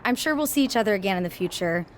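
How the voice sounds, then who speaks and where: A woman's voice: mid-range voice, animated, rapid-fire, a little hiss or hum, close to an everyday voice. A woman playing a gracious, seasoned indie wrestler in a video game, speaking in a friendly, encouraging way. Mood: gracious, excited. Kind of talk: scripted game cutscene dialogue.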